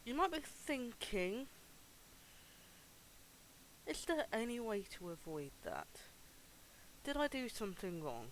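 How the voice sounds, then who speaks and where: Woman streamer with very animated pitch. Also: takes it slowly, long pauses, a little hiss or hum, low-quality recording. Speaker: video game streamer. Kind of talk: live playthrough commentary.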